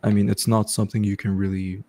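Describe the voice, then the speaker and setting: Give me a man with a deep, warm voice hosting a casual live Q&A. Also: flat delivery, fast. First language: French. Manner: casual, repeats "okay" and "bro", frequent restarts. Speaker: host coaching online business. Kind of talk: live stream Q&A, casual advice.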